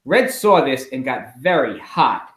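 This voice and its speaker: A man reading a picture book aloud to children in an expressive, emphatic storytelling way, as a school principal at home. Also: mid-range voice, unhurried. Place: at home, indoors. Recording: roomy, echoey home recording.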